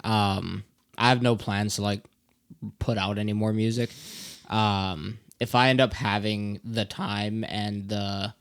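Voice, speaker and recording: Laid-back young man, low voice. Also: fairly even intonation, slow pace, clean tone, no room sound, clean studio sound. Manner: laid-back, candid, rambling. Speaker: podcast co-host. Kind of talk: casual chat.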